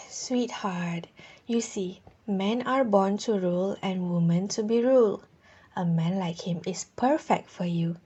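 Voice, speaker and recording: A woman playing a gentle, submissive adviser in an amateur radio drama. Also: mid-range voice, slow pace, husky, lo-fi sound. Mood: persuasive.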